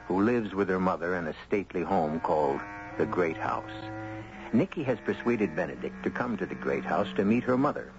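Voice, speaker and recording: A man narrating as host of a 1970s radio drama in a theatrical, measured manner. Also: low-pitched, background noise. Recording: muffled old radio broadcast.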